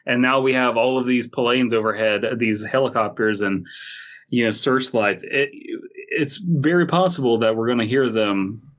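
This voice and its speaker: A man's voice: medium pitch, a little slow, a bit bright, dull audio. A man hosting a podcast, chatting casually in a rambling, joking way. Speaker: podcast host. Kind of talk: casual comedic chat.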